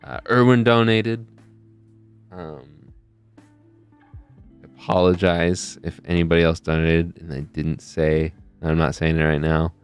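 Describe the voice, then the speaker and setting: A man's voice: low-pitched, fairly even intonation, measured pace, pauses often, clear, resonant tone, soft background music. A young man hosting a charity live stream in a casual, rambling way, often losing his train of thought. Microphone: desk mic close to a face camera.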